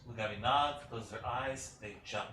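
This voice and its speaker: A man's voice: mid-range voice, normal speed, pushed and bright. A man reading stage directions as scripted narration for a staged play reading. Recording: echoey room, distant mic, faint noise.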